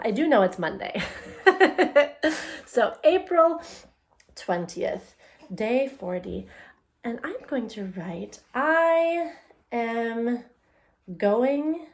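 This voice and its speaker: Slow, higher-voiced woman reading to children. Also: sing-song. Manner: encouraging, asks questions and answers them. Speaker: elementary teacher reading a picture book aloud. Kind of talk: read-aloud for young children, talking to the listener.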